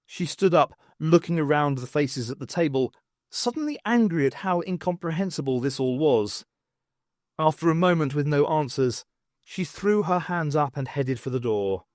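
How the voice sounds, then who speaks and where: A male audiobook narrator tells a story in a slightly high voice. His pitch moves around a great deal as he gives an expressive, dramatic reading. The sound is very dry, with no room around it. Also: normal speed, no echo. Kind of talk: scripted dramatic narration of fiction.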